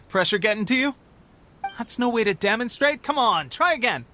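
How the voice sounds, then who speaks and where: A spirited young male resistance soldier in a video game. His voice is very high for a man and swings widely in pitch. He sounds friendly, cocky and encouraging, with faint music behind him. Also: moderate pace. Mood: upbeat, encouraging. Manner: upbeat, encouraging, expressive. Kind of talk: scripted video game voice acting.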